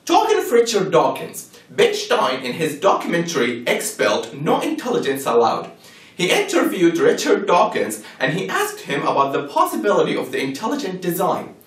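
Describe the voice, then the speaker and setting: A man's voice: fairly high pitch, huge pitch swings, moderate pace. A man giving a scripted, persuasive argument with rhetorical questions in an emphatic, argumentative manner. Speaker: presenter of a religious argument. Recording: echoey room, distant from the mic.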